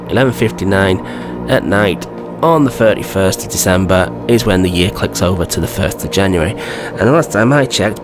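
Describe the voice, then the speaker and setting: A man with a low, croaky voice, hoarse from a cold, rambling through opinionated vlog commentary. He keeps asking whether you know what he means, and music plays clearly behind him. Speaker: gaming video creator.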